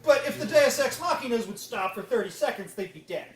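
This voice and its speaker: A woman's voice: fairly high pitch, expressive, a little fast. A woman giving a comedic panel presentation as a host, with a wry manner. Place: convention panel room. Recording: echoey room, distant mic, crowd behind.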